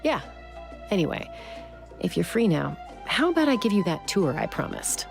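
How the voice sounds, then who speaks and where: Low-voiced, assured warrior woman. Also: big pitch swings, normal speed, vocal fry. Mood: reassuring. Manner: laid-back, assured. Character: cool, composed young warrior woman, protective and frank. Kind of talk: video game voice acting. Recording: music behind the voice.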